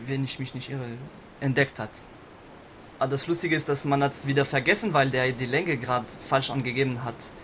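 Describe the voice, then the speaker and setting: A man playing a chatty cellist who tells a rambling anecdote, halting and correcting himself in slightly faulty German grammar. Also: medium pitch, a bit bright, slight background noise, natural voice. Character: cellist of a string trio, chatty, rambling storyteller. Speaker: musician being interviewed. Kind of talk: casual anecdote telling.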